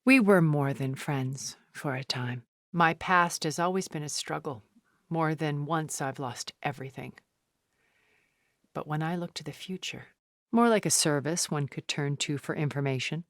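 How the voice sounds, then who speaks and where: A woman playing a wealthy, cunning, ancient art connoisseur in a video game, speaking in a refined, measured, articulate way with a wistful temper. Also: low voice, no room sound, clean studio sound. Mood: wistful, confiding, then composed, businesslike. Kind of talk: video game character dialogue.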